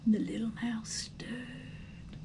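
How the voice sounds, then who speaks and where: A woman reading a storybook aloud as narrator, in an expressive, dramatic style with a hushed storytelling manner. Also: fairly high pitch, extremely slow, creaky, slight background noise. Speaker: storybook reader.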